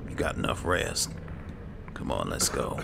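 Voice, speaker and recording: An extremely deep-voiced man with heavy vocal fry commentates a game in character. He is slow and laid-back, muttering to himself over noisy game ambience. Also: sing-song. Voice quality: gravelly. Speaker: gaming video host, player. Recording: clear game ambience noise behind. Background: video game ambience.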